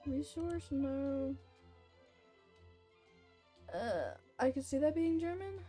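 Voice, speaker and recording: A woman's voice: high-pitched, lively intonation, slow pace, warm tone. A young woman chatting casually and hesitantly as she plays a game on a livestream, rambling a little. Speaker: game streamer. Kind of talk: casual livestream chat while gaming. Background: faint game music.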